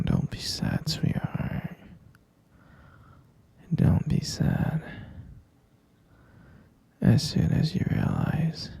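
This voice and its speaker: A man playing a tender, possessive, deluded captor in an intimate audio roleplay, coaxing in a breathy, gravelly whisper with murmured endearments. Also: a little high, dark tone, dry recording, exaggerated voice. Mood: soothing, obsessive. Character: obsessive male yandere captor, tender, possessive, deluded lover.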